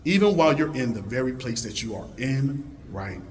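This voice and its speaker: Deep-voiced man giving a rousing talk. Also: moderate pace, a little hiss or hum. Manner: animated, rousing, swings from loud calls to hushed low tones. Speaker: conference speaker. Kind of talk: motivational talk to a live audience. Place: conference hall.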